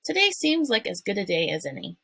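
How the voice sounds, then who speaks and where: A woman voicing a cheerful, sociable mother in a storybook reading, lifting her pitch high and bright. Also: huge pitch swings, brisk, creaky, slightly rough, dry recording, clean studio sound, heavily acted. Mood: breezy. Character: cheerful breezy mother, a novelist.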